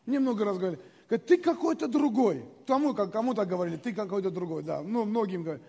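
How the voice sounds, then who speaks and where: A man's voice: high voice, animated, quick pace, vocal fry, warm tone, slightly rough, in a large hall. A man preaching a sermon in a conversational way, repeating phrases and calling the congregation to respond. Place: large church hall.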